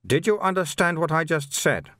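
A man voicing a calm, chatty monk in an audiobook, soothing and lightly teasing as he explains things. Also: on the high side, moderate pace, no echo, clean studio sound, pitched above the performer's own voice, close to an everyday voice. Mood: explaining, amused. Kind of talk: audiobook character dialogue.

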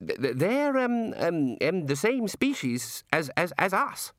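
Male narrator as a nervous storybook wizard, raised pitch, hesitant, tongue-tied, miserable. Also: very animated, measured pace, fairly bright tone, no echo, studio quality, heavily acted. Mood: awkward, miserable. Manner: hesitant, stammering. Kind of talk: audiobook character voice.

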